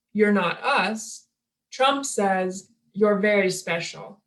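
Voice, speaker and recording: A woman reads a written essay aloud. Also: mid-range voice. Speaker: reader at a table reading. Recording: distant from the microphone.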